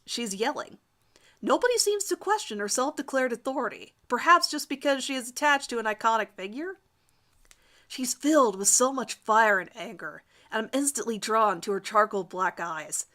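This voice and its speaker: A woman doing an amateur dramatic reading of a first-person story in an expressive, theatrical manner. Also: on the high side. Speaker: amateur reader of her own fan fiction.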